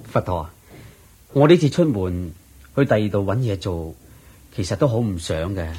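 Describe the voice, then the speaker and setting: A man's voice: deep voice, sing-song, normal speed, mellow, husky, full character voice. An actor playing a poor, devout, worried young husband and father in a radio drama, sighing and earnest, with a Hong Kong Cantonese accent. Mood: humble, earnest. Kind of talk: Cantonese-language Buddhist radio drama acting.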